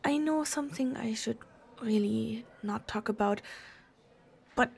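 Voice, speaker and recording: An actress playing an anxious German hotel desk clerk in an audio drama, breathy and guarded, speaking haltingly with a German accent. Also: female voice, fairly high pitch, exaggerated voice. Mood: anxious, hushed. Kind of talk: audio roleplay acting.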